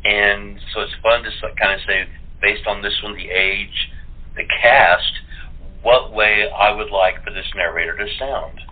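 A man's voice: on the low side, steady tone, unhurried. A man chatting casually as an interview guest, over a muffled telephone-quality connection. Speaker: voice actor guest playing a narrator.

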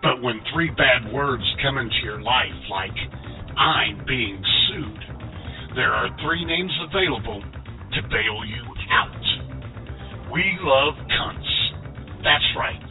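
Slow, mock-solemn male announcer. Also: medium pitch, lively intonation. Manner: deliberate, measured, mock-solemn. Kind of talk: mock radio advertisement voice-over. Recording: dull radio audio over music.

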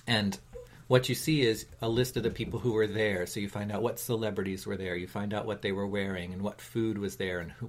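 A man hosting a talk show, explaining ideas in a deliberate, conversational way. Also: on the low side. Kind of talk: conversational discussion.

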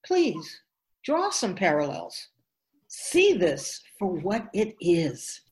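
A woman as a slow, solemn spirit guide. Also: on the low side, huge pitch swings, a little mellow, exaggerated voice. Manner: deliberate, measured. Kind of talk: radio drama dialogue.

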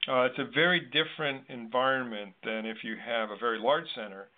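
A man hosting a conversational interview, thinking aloud in long, halting, wordy sentences. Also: mid-range voice, fairly even intonation. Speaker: interview host. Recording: narrow, tinny phone-like line.

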